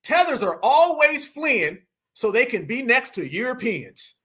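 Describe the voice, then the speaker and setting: Male live-stream host, American, high bright voice, lively swings, combative and mocking, slang-heavy. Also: unhurried, slightly rough. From United States. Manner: combative, mocking, slangy, talks straight to viewers. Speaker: livestream commentator. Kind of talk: heated live reaction commentary.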